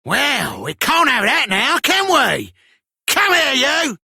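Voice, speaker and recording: A woman's voice: high-pitched, huge pitch swings, takes it slowly, vocal fry, pushed and bright, dry recording, clean studio sound, exaggerated voice. A woman playing a tough, dismissive gang member in a video game. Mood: hostile, mocking. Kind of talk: theatrical video game voice acting.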